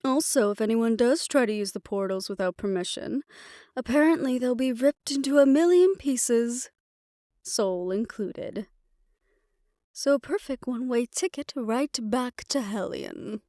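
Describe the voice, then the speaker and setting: A woman's voice: on the high side, a little slow, warm tone, dry recording, clean studio sound, close to an everyday voice. A woman playing a confident, scheming female magic researcher in a scripted fantasy audio roleplay. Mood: confident, brisk.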